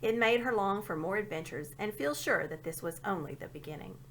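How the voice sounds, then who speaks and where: A woman reading a storybook aloud as an expressive narrator. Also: medium pitch, fairly quick, slight background noise. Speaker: storybook reader.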